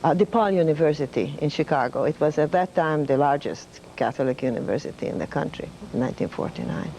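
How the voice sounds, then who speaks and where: An older woman from Hungary with a Hungarian accent, a retired professor telling her life story in a measured way, with many fillers. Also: low-pitched, expressive, gravelly, a little hiss or hum, poor audio. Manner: measured, with fillers and soft laughs. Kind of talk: reflective storytelling.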